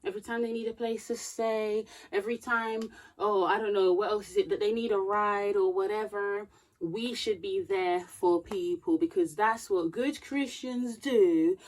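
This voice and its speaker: A woman's voice: mid-range voice, normal speed, darkish tone, rough recording. A woman in her thirties giving earnest, preachy advice to camera. She checks her notes now and then and grows emphatic as she talks. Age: 30s. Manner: reading from notes. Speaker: vlogger. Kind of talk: casual advice talk to camera.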